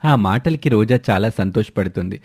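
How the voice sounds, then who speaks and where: A man narrating a children's moral story in a steady, plain way. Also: fairly low pitch, normal speed, breathy edge.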